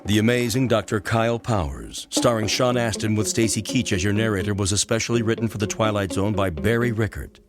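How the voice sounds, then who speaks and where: Low male announcer over music. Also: a little fast, hardly any pauses. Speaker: credits announcer. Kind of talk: scripted radio credits announcement. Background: clear instrumental music.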